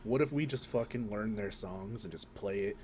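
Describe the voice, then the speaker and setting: A man's voice: on the low side, normal speed, darkish tone, slight background noise. A man co-hosting a casual, joking podcast, talking in a slangy, mock-indignant way with plenty of swearing. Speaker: podcast co-host.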